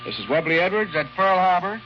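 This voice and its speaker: A man's voice: high-pitched, very animated, fast talker, dull audio. An American man, a war correspondent, delivering a scripted 1940s radio report. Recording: band-limited shortwave radio, faint music bleed.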